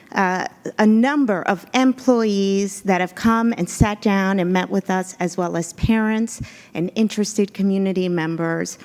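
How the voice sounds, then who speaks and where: A woman whose first language is Spanish, giving a measured, earnest presentation to a school board as an executive search consultant. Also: medium pitch, darkish tone. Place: board meeting room.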